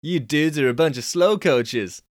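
A man's voice: a little high, a little slow, no echo, studio quality, voice pushed up, close to an everyday voice. A man voicing a brash young American snowboarding champion with a put-on Californian accent and a boastful surfer-dude drawl. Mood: cocky.

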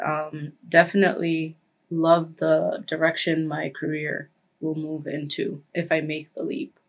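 A woman in her twenties from Kenya, a guest chatting casually in an interview with lots of "like" and "um". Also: low-pitched. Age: 20s. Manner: chatty, frequent "like" and "um" fillers.

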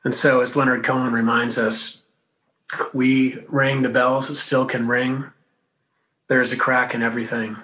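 Bright-voiced man preaching gently. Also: medium pitch, little pitch movement, a little slow, low-quality recording. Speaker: minister. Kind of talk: sermon.

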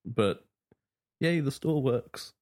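A man's voice: medium pitch, lively intonation, takes it slowly, a little mellow. A man born in Bristol, England, hosting a casual podcast chat and joking at his own expense while plugging things. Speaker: podcast host.

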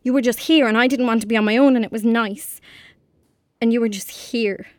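A woman's voice: fairly high pitch, fairly even intonation, fairly quick, dry recording, close to an everyday voice. A woman playing a lonely, anxious young Irish girl in an audio drama, speaking hesitantly. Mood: frightened, confronting.

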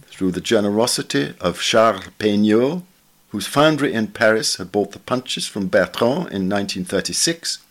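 A man reading a literary article aloud in a measured, formal manner, as scripted podcast narration. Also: fairly low pitch. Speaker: reader of a journal article for a podcast.

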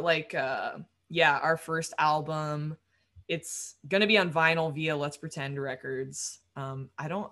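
A young woman musician with a low voice, talking casually in an interview, rambling with lots of fillers and quick laughs. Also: fairly bright tone. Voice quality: low-register voice. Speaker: musician guest.

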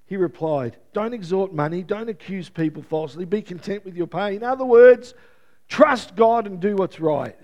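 A man's voice: high-pitched, moderate pace, darkish tone, dull audio. A man preaching a sermon in an earnest, conversational way, his voice worn and strained as he notes he is losing it, with frequent restarts and light chuckles.

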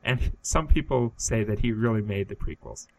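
A man co-hosting a podcast in casual comedic chat, delivering absurd jokes in a dry, deadpan way. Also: lowish voice, moderate pace, vocal fry, poor audio. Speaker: podcast co-host.

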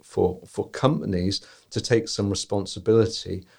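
Man, podcast guest, low voice, gravelly, steady and deliberate. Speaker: tour company guest. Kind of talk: podcast interview answers.

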